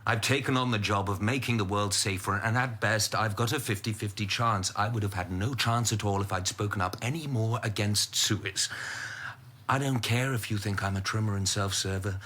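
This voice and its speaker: An actor playing a weary, defensive, short-tempered minister in a radio drama, clipped and impatient. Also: male voice, fairly low pitch, moderate pace, bright, forceful tone. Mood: exasperated. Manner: clipped, impatient, self-justifying.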